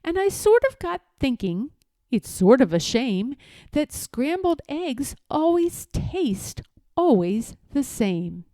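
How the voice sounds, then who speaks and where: A woman reading a children's story aloud in a theatrical, rhythmic way, as expressive storytelling. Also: a little high, no echo. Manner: theatrical, rhythmic rhyming delivery. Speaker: storybook reader.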